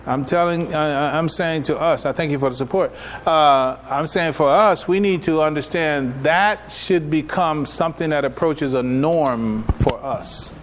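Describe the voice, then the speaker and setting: Folksy American man lecturing, higher-pitched, noisy recording. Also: a little slow, few pauses. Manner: conversational, folksy, checks in with listeners using rhetorical questions. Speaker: imam giving a public address and taking questions. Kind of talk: informal religious lecture to a congregation. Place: live gathering at a mosque, speaking to attendees. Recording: noisy live room recording.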